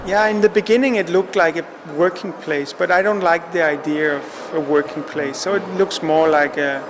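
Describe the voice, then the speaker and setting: A man's voice: fairly high pitch, fairly even intonation, moderate pace, over music. A man from Denmark in his fifties, an artist answering interview questions in a reflective, hesitant way, often repeating himself.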